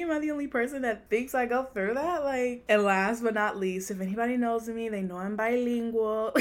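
A young woman hosting a casual podcast, chatty and laid-back, often laughing as she talks. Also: medium pitch. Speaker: podcast host. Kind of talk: casual chat.

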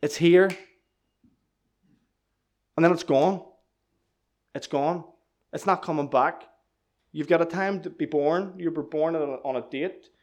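A man preaches earnestly at church, repeating his key lines for emphasis. His voice sits high for a man. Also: unhurried, long pauses. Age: 20s. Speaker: lay preacher giving testimony. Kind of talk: church testimony and sermon.

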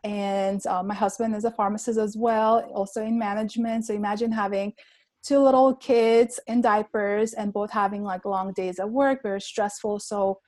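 A woman's voice: mid-range voice, little pitch movement, normal speed, darkish tone, no room sound. A woman in her thirties from Armenia, telling her story earnestly as a podcast guest with many ums and likes. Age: 30s. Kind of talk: casual interview talk.

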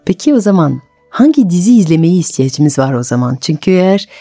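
A woman radio host with a notably low voice and a French accent asks questions conversationally. Her tone is slightly mellow, recorded dry and close with faint music underneath. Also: very animated, fairly quick, dry recording. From France. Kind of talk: conversational interviewing.

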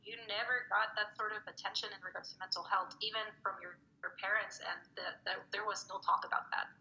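A woman's voice: medium pitch, flat delivery, fast, sharp tone, lo-fi sound, a little hiss or hum. A woman in her thirties from Mexico, a native Spanish speaker, answering interview questions thoughtfully with frequent fillers and restarts. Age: 30s. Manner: reflective, with many fillers and restarts. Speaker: poet and student advisor.

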